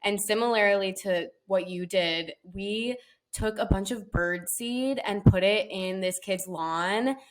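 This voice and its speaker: A woman co-hosting a podcast in casual chat, enthusiastic and agreeable, quick to laugh. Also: mid-range voice. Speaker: podcast co-host.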